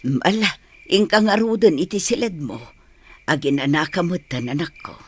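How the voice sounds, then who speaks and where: A man's voice: fairly high pitch, animated, moderate pace, natural voice. A man playing a fretful, doting elderly mother in an Ilocano audio drama. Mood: tender worry. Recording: clearly noisy track with chirping insects.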